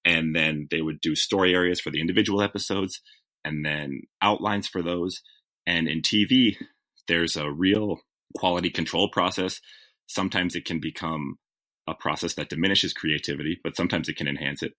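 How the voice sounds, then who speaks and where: A man's voice: sits low, fairly even intonation, moderate pace, pushed and bright. A man being interviewed as a TV writer, telling stories in a reflective, self-correcting conversational way. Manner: reflective, self-correcting, with frequent "like" and "you know". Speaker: guest, TV writer.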